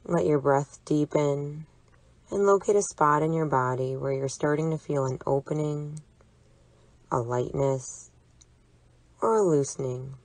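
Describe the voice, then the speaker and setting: A woman leading a guided imagery relaxation exercise, speaking in a soothing, deliberate, gently instructive way. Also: sits low, no echo. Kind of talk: guided imagery meditation narration.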